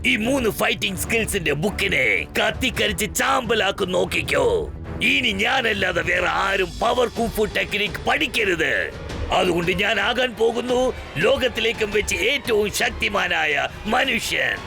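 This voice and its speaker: A man's voice: high-pitched, expressive, moderate pace, gravelly. A man voicing a vengeful, boastful kung fu master villain in a children's cartoon, taunting and theatrical. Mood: gloating menace. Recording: background music under the voice.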